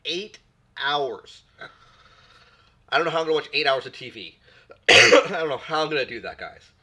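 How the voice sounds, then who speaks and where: A man hosts a casual live stream from a home desk mic, his voice carrying the tail end of a cold. His tone is bright and forward. He rambles easily, doubling back on words as he chats to his viewers. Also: a little high, big pitch swings, moderate pace. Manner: rambling, repeats words, greets chat viewers. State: recovering from a cold. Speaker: stream host.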